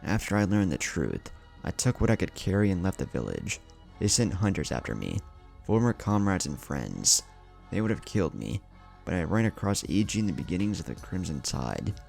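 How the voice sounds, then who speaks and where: Man reading as a clipped, low-voiced ninja woman. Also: very animated, normal speed, gravelly, a little mellow, soft background music, full character voice. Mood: quiet, sombre. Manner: clipped, clinical. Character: stern, pragmatic young female rogue ninja.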